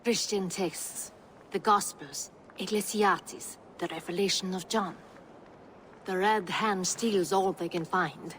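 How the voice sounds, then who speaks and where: A woman playing a capable, fiercely loyal Norse woman in a video game, formal, dry and measured in her speech. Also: medium pitch, fairly even intonation, a bit bright, a little hiss or hum. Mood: grave. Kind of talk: dramatic video game dialogue.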